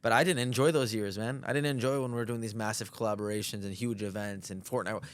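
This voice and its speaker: Fast-talking man in his twenties. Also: on the low side, steady tone. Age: 20s. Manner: quick, casual, agreeable, frequent fillers. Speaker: podcast host. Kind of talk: casual podcast chat.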